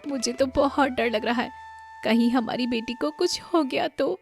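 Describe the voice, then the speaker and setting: A woman's voice: high-pitched, moderate pace, vocal fry. A woman voicing a distraught, urgent village mother in a cartoon moral story, melodramatic and pleading. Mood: tearful, frightened. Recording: music bed under the voice.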